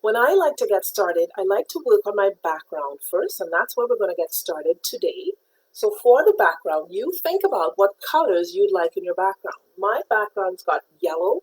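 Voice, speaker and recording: A middle-aged woman from Trinidad leads a live painting class, walking viewers patiently through each step. Her voice is breathy and husky at a middling pitch, and she brightens to greet them by name. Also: sing-song, normal speed, low-quality recording. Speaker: art teacher. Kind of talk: live-streamed painting lesson.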